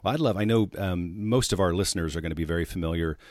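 A man hosting a podcast interview, speaking earnestly and hesitantly with many pauses. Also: low-pitched, a little mellow, no echo, studio quality. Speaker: podcast host.

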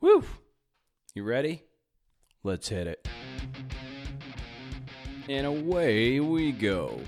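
A man's voice: medium pitch, big pitch swings, very slow, a little mellow, faint music behind. A man hosting a podcast, speaking in a punchy, emphatic way with playful exclamations. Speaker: podcast host. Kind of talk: podcast intro and interviewing.

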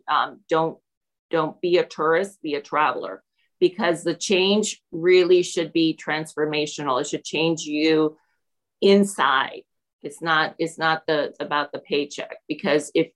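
Female interviewee from Peru, a teacher, slightly low voice, reflective and conversational delivery. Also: poor audio.

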